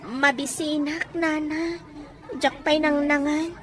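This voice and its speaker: A woman's voice: sits high, fairly even intonation, slow pace, a faint crowd. A woman with a Filipino accent playing a poor, humble young woman, plaintive and respectful, in a melodramatic audio drama. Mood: humble, grateful.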